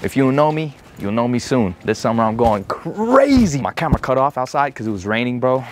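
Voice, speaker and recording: Slangy young man, lively prank vlogger. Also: medium pitch, fairly quick, a little hiss or hum. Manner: slangy, playful, hushed whispers while pranking. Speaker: prank vlog host. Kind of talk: casual prank vlog chat. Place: inside a store and a parked car.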